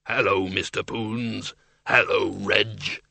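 A man voicing a huge, amiable, hairy bogeyman with a slow, happy, easygoing manner in a storybook reading. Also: fairly low pitch, steady tone, creaky, sharp tone, dry recording, voice pushed down, full character voice. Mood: friendly, obliging. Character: huge hairy bogeyman, amiable, eager, newly self-confident.

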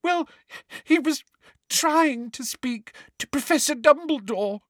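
A man's voice: extremely high pitch, expressive, measured pace, bright, forceful tone, dry recording, clean studio sound, pitched above the performer's own voice, full character voice. A man voicing a frightened teenage girl who stammers and sobs shrilly. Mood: tearful.

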